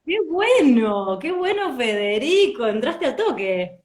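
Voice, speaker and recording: A woman's voice: high-pitched, slow. A woman with an Argentine accent hosting a casual live stream, greeting viewers and chatting with her guest. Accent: Argentine Spanish. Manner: lively, greeting viewers warmly. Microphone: video call. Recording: roomy echo.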